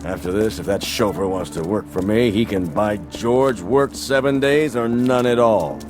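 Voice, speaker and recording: Actor as low-voiced, imperious rich man. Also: normal speed, over music, close to an everyday voice. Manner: imperious, dismissive. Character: haughty, grumbling wealthy businessman. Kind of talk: television drama acting.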